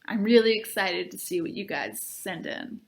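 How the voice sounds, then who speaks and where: A woman, a librarian, reading a children's book aloud and chatting to viewers in an expressive, upbeat way. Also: mid-range voice, normal speed, gravelly.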